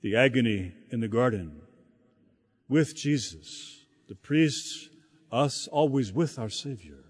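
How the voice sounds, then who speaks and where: A man preaching a sermon in a slow, halting delivery, often repeating words as he searches for the next phrase. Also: medium pitch, expressive, breathy edge. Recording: long hall reverb.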